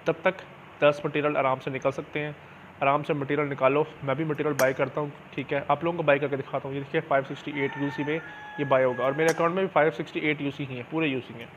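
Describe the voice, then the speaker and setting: A man's voice: a little high, steady tone, fast. A man gives a casual, explanatory game tutorial, often adding little check-in tags as he goes. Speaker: gaming channel host. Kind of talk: casual screen-recorded game tutorial commentary. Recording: dull lo-fi mic with clear background noise.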